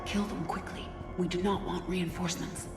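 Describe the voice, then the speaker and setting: A woman's voice: on the low side, little pitch movement, normal speed, loud music behind the voice, natural voice. A woman playing a cool, analytical squadmate in a video game, with a clinical, matter-of-fact delivery. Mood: clinical, alert. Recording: echoey, loud game score behind.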